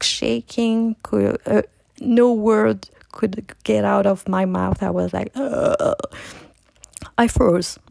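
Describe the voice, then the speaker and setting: Soft-spoken French-accented woman, gravelly undertone. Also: mid-range voice, no echo. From France. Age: middle-aged. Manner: reflective, frequent restarts and soft asides. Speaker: podcast host. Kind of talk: personal storytelling monologue.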